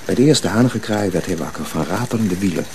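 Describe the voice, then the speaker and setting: A man narrating a radio drama as a scripted story, in an expressive, suspenseful storytelling manner. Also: deep voice, fast talker, a little hiss or hum.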